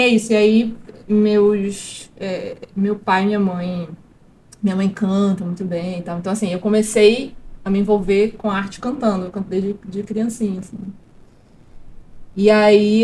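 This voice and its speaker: A woman's voice: medium pitch, warm tone, a little hiss or hum. A woman, a guest chatting casually in an interview, rambling with fillers and restarts. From Brazil. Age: 30s. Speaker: guest actress and producer.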